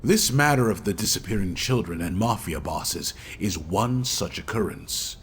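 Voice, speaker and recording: An actor playing an earnest, mysterious member of a secret order in a game cutscene, speaking in a measured, formal way. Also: male voice, fairly low pitch, lively intonation, slight background noise, close to an everyday voice. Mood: grave, urgent. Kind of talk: video game cutscene acting.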